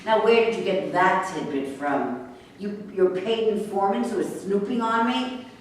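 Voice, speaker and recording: A woman in a staged play reading, acting a bitter, sharp-tongued racehorse trainer who is hurt, sarcastic and confrontational. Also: medium pitch, measured pace, close to an everyday voice. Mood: angry, scornful. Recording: very echoey room, distant mic, faint noise.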